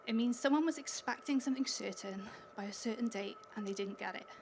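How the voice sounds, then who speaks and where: Welsh woman, conference speaker, slightly higher pitch, upbeat and chatty, light room ambience. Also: brisk, a little mellow, slight background noise. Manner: upbeat, chatty, laughs between points. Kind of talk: conference talk. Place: conference hall stage.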